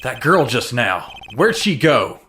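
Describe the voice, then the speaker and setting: A man's voice: medium pitch, very animated, takes it slowly, bright, forceful tone, a little hiss or hum, exaggerated voice. A man playing an earnest, jumpy young defense attorney in a dramatic game fandub. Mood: startled. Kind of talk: dramatic game fandub, inner monologue and dialogue. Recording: music under the voice. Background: game music.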